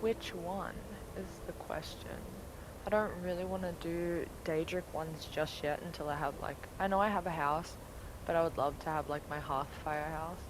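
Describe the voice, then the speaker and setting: A woman's voice: sits low, moderate pace, noisy background. A woman giving casual gameplay commentary, thinking aloud in loose, sometimes sweary talk. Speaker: gaming streamer.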